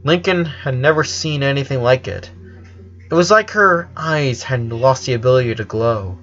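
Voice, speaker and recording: A man reading a story aloud as an expressive narrator, voicing dialogue between passages of prose. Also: medium pitch, normal speed, muffled recording. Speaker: narrator reading fan fiction.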